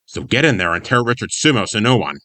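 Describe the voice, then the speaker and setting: A man voicing a small, gruff male fennec fox who barks out blunt, forceful orders. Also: on the low side, sing-song, quick pace, dry recording, pitched below the performer's own voice. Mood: urgent.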